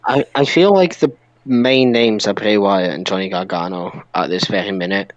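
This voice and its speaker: A man's voice: on the low side, normal speed, highs cut off. A man co-hosting a podcast, chatting casually in a group about wrestling. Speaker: podcast co-host.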